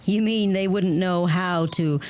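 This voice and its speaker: A woman's voice: fairly low pitch, a little slow, a little mellow, a little hiss or hum, close to an everyday voice. A woman playing an amused, curious American newcomer to Ireland in a naturalistic radio play, teasing and inquisitive.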